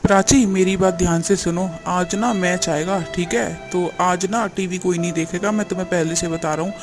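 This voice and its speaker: An entitled young husband , played by a man with a high-pitched voice. He is demanding, bossy and pleased with himself, in cartoon family drama style. Music is clearly audible behind him. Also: fairly even intonation, normal speed. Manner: bossy, self-satisfied.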